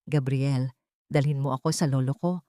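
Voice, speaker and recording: A woman's voice: extremely low pitch, fairly even intonation, moderate pace, warm tone, dry recording, clean studio sound, voice pushed down. A woman voicing a wronged young heiress in a dramatic audio story, firm, regal and commanding. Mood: resolute.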